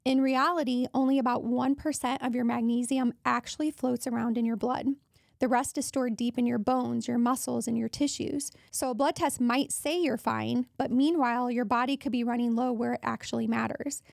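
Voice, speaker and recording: Woman host, hoarse and raspy, higher pitch, gravelly fry, chatty wellness podcast talk. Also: darkish tone, dry recording, studio quality. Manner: chatty, wanders off on tangents. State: hoarse from allergies.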